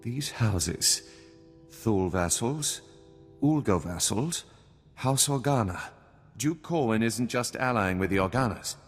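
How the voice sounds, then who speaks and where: A shrewd aristocrat from a video game, formal and cool in manner. His medium-pitched voice is measured and rings with long reverb over music. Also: animated, breathy edge. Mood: cool, businesslike. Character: shrewd, formal nobleman baron, cool and calculating. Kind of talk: video game dialogue acting.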